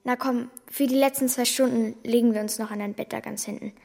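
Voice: medium pitch, steady tone, brisk, gravelly, a little mellow. A young performer playing a frank, resolute girl with a blunt, reassuring manner in a German children's radio drama. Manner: blunt, matter-of-fact, reassuring. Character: frank, resolute girl, the boy's protective companion.

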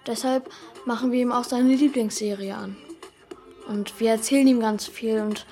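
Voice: mid-range voice, steady tone, moderate pace, warm tone, soft background music, lightly acted. A performer voicing an earnest, troubled young boy who tells his story in a children's radio play. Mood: subdued. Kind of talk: radio play narration and dialogue.

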